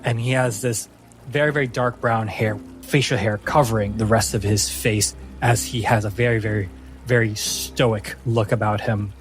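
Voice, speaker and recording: A man working as game master, narrating a tabletop fantasy game dramatically with suspenseful, deliberate phrasing. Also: on the low side, fairly even intonation, faint music behind.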